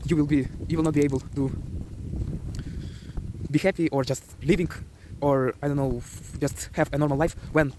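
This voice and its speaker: Young Ukrainian-accented man, earnest and loose motivational vlogger; slightly higher voice, faint hiss underneath. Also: fairly even intonation, normal speed, darkish tone. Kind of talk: motivational monologue.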